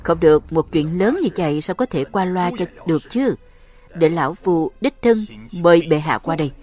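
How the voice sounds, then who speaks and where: A woman's voice: fairly low pitch, animated, normal speed, darkish tone, close to an everyday voice. A woman voicing an arrogant, scheming old lord with a haughty, gloating manner in a fantasy cartoon. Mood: insistent.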